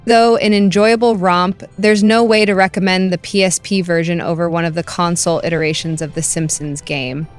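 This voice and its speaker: Low-voiced woman reviewing games. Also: clean tone. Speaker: video game reviewer. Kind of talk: scripted review narration. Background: music.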